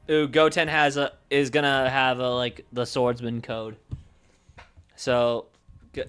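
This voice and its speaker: A man livestreaming casually, thinking aloud in a halting way and often trailing off mid-thought. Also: medium pitch, fairly bright tone, clear, resonant tone. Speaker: streamer.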